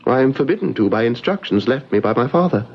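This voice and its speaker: A man playing a nervous, reclusive English gentleman, halting, formal and fretful, in old-fashioned radio mystery acting. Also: medium pitch, animated. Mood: melancholy. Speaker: radio drama actor. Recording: muffled vintage radio recording.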